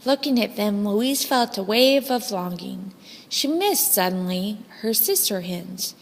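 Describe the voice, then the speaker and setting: A woman reading a children's story as scripted narration, with expressive, deliberate phrasing. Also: medium pitch, creaky. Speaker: storybook reader. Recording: long hall-like reverb.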